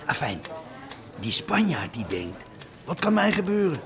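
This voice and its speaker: A man's voice: fairly high pitch, sing-song, measured pace, a crowd in the background. A man playing a chatty, officious desk policeman in a radio drama. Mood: jolly.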